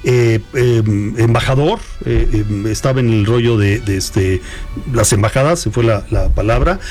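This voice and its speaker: A man's voice: medium pitch, normal speed, a little mellow, faint music behind. A middle-aged Mexican man with a Mexican accent, answering an interviewer in a reflective, storytelling way with hesitant restarts. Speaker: guest. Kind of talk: conversational interview.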